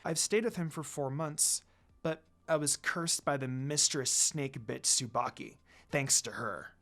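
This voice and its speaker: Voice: mid-range voice, measured pace, sharp tone, voice pushed down. A reader voicing a sad, defiant young woman from a fan story in an emotional, dramatic way. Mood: sad, regretful.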